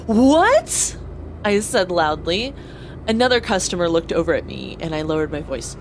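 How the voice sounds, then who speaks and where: A woman's voice: fairly low pitch, big pitch swings, normal speed, slight background noise. A woman playing an earnest young space pilot who narrates her own story in an audio drama. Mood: surprised, earnest. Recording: clear vehicle ambience behind voice.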